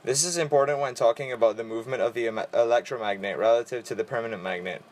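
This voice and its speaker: A teenage boy reading vocabulary and equations aloud for a school presentation, in a steady, orderly way. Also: medium pitch, fast. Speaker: student presenter.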